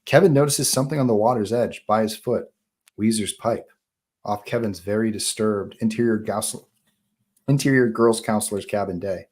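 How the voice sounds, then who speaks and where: A man's voice: fairly low pitch, normal speed, darkish tone. A man narrating stage directions at a live screenplay table read.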